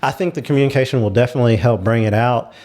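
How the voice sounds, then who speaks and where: A man's voice: medium pitch, rapid-fire, darkish tone. A man speaking as a panelist in a casual discussion, explaining his views in a frank way.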